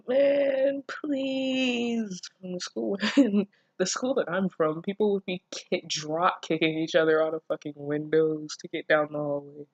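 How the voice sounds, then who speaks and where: A woman's voice: low voice, darkish tone, dry recording, lo-fi sound. A woman reading fan fiction aloud and reacting casually, with an incredulous, self-deprecating manner and free swearing. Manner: giggly, breaking off to comment. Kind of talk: casual reaction commentary mixed with read-aloud.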